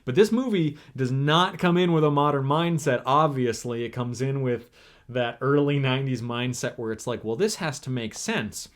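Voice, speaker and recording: A man's voice: mid-range voice, moderate pace. A young man co-hosting a casual podcast chat. He rambles, trips over his words and restarts sentences often. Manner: rambling, full of fillers and restarts, chatty. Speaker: podcast co-host.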